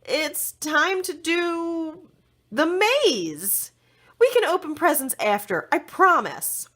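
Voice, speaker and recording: A woman voicing an excitable, flustered young princess in a children's storybook. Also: very high-pitched, takes it slowly, pitched above the performer's own voice, exaggerated voice. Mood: flustered, apologetic. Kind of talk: children's storybook read-aloud.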